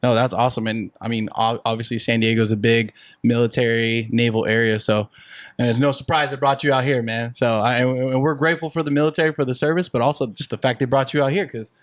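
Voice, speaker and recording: A man's voice: medium pitch, fairly even intonation, fast, no room sound, studio quality. Laid-back man hosting a golf podcast. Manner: laid-back, friendly, mixes in Spanish words. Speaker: podcast host. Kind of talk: casual interview chat. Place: cigar shop.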